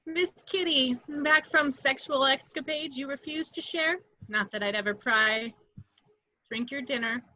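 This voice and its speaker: A woman's voice: sits high, a little slow, sharp tone. A woman playing a lonely, self-mocking young secretary with sardonic delivery in an amateur read. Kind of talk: amateur table read acting. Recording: echoey, muffled home microphone.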